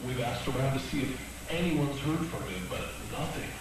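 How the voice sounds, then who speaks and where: An actor playing a timid, deferential TV producer who answers hesitantly and apologetically in an audio drama. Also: male voice, medium pitch, expressive, normal speed, lightly acted. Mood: nervous, meek. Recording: very echoey, distant, noisy room.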